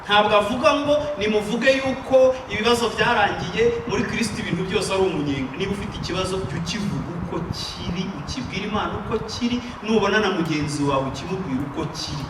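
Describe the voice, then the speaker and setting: A man's voice: high-pitched, quick pace, few pauses, far from the mic. A Rwandan man preaching a sermon with emphatic call-and-response, paced for an interpreter. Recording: echoey room, picked up from afar, noisy.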